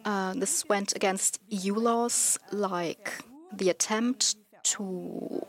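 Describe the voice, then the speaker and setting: Slow, hesitant woman speaker. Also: mid-range voice, steady tone. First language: not German. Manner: slow, hesitant, self-correcting. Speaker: NGO representative. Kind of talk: conference talk.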